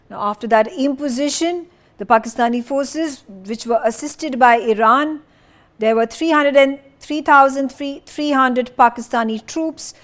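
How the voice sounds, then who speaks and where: A woman news presenter explaining in a partly ad-libbed style, with emphatic, deliberate phrasing. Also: high-pitched.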